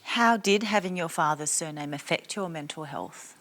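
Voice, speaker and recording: A woman's voice: deep voice, normal speed, fairly bright tone. A woman hosting a studio discussion, asking guests direct questions. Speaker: host.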